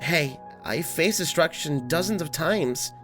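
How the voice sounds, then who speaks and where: A man's voice: fairly high pitch, lively intonation, unhurried, music in the background. A man voicing a brave, earnest young robot boy hero in a comic dub, encouraging and resolute. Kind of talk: dramatic comic-dub acting.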